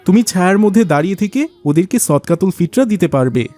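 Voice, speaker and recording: Man acting a show-off rich cartoon businessman, high-pitched, bossy, condescending. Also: expressive, quick pace, mellow, dry recording. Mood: fretful, coaxing. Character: pompous, show-off rich businessman and indulgent father.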